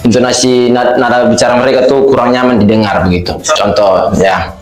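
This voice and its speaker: A man's voice: medium pitch, fairly even intonation, brisk. A young man from Kupang, a student, explaining thoughtfully in an interview. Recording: echoey room with music bed.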